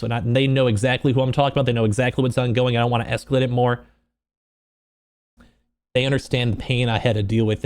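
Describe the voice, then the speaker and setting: A man speaking off the cuff in a personal vlog, upset and close to crying, halting and repeating himself earnestly. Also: medium pitch, a little mellow. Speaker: streamer and video creator. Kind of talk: unscripted personal vlog monologue.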